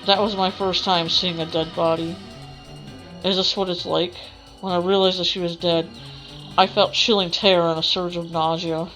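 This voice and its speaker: A woman's voice: lowish voice, flat delivery, normal speed, a bit bright, low-quality recording. A woman gamer reading visual novel narration aloud, with casual asides of her own. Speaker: gamer doing a let's play. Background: game music.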